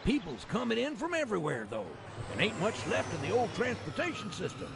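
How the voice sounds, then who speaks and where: Creaky, high-pitched folksy old-timer. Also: male voice, huge pitch swings, fairly quick, very noisy, exaggerated voice. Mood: wry storytelling. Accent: American, folksy rural Western. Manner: colloquial drawling storyteller, exaggerated. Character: folksy old-timer narrator, cheerful and wry. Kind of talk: folksy video game cutscene narration.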